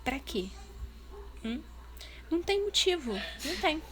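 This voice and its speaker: A woman's voice: high voice, sing-song, takes it slowly, fairly bright tone, faint music behind. A woman with a Brazilian Portuguese accent, talking straight to her viewers in a casual vlog and pressing her points with repeated questions. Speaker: vlogger voicing her deaf partner's signing.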